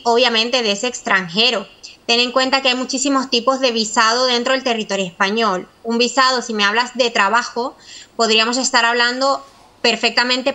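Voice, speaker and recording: A woman's voice: fairly high pitch, pushed and bright. A woman in her thirties with a Venezuelan accent, explaining things as an expert guest on a video call. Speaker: immigration lawyer, guest. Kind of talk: explanatory interview talk. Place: small office, indoors.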